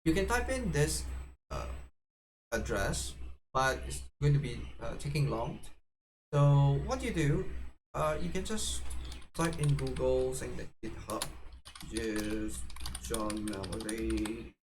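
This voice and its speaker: Halting man in a noisy recording. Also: mid-range voice, animated. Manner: halting, hesitant delivery with many fillers and self-corrections. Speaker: tutorial presenter. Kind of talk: casual unscripted how-to demonstration.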